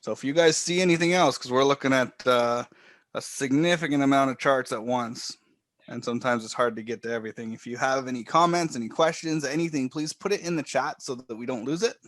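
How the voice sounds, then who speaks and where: A man calmly walking viewers step by step through charts and trading software on a live stream. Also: mid-range voice, brisk, no echo, studio quality. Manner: calm, explanatory, step-by-step. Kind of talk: live screen-share trading walkthrough.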